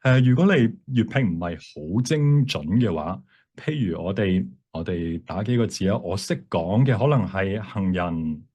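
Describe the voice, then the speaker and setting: Low-voiced man giving an informal workshop. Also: lively intonation, moderate pace, mellow, highs cut off. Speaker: workshop presenter, font creator. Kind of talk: informal software demonstration, explaining.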